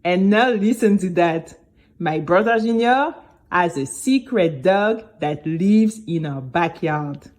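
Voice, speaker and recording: A woman as a chatty young girl, unhurried storyteller. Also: fairly low pitch. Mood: confiding. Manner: conversational, expressive storytelling with exclamations. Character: Bénie, nervous, chatty young girl singing contestant. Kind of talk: first-person scripted audio drama narration.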